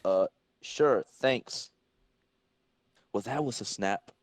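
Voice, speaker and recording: A man's voice: lowish voice, slow pace, no echo. Level-headed wisecracking hero, read by a man, casual and wry, dry close recording. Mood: calm, wry. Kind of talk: dramatic comic-book reading.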